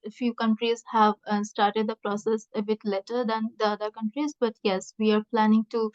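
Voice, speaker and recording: A woman researcher answering questions in an online meeting, speaking haltingly with many hesitant pauses. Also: a little high, little pitch movement, a little mellow, dry recording. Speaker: researcher presenting a project. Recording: muffled lo-fi online call audio.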